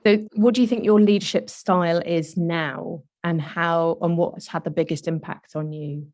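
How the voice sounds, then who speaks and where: A woman host with a lowish voice asks open questions and encourages her guests. Her voice sounds close and dry, with no room around it. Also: steady tone, moderate pace, a little mellow, dry recording. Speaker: podcast host. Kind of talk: podcast interview.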